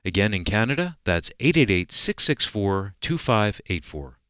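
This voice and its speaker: A man reading a promotional announcement on the radio in a measured way, slowing for the phone numbers. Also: fairly low pitch, sing-song.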